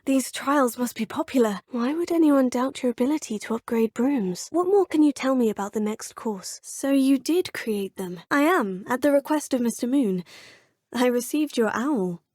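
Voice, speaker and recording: Young witch student, polite, formal, measured, fairly high voice, dry close studio sound. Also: expressive, darkish tone, no room sound. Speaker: player character. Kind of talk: video game voice lines.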